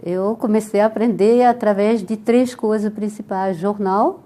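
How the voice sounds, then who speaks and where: An older woman from France speaking with a French accent, calmly telling her life story as a guest in a TV interview. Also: medium pitch, a little slow. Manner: calm storytelling with soft laughs.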